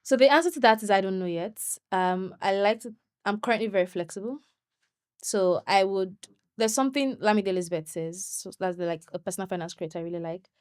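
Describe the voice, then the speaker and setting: A young woman, a guest on an interview show, chatting in an animated way and laughing often. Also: medium pitch, clean tone, no room sound, studio quality. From Nigeria. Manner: animated, laughs often, quick bursts when excited. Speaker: podcast guest. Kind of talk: conversational interview.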